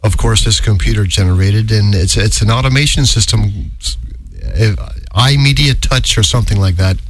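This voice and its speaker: A man's voice: lowish voice, moderate pace, slightly rough, no room sound. A middle-aged man hosting a live radio show in a studio, chatting in a rambling, self-correcting way. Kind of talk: casual live radio patter.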